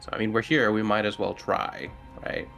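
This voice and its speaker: A man's voice: fairly low pitch, fairly even intonation, moderate pace, creaky, a bit bright, faint music behind. A man playing a tabletop game, talking casually with the other players.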